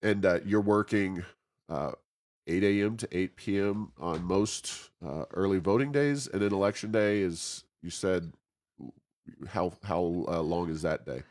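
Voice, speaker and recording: A man's voice: deep voice, measured pace, a little mellow. A man hosting an informal interview, feeling his way through questions with hesitant, roundabout phrasing. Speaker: interview host.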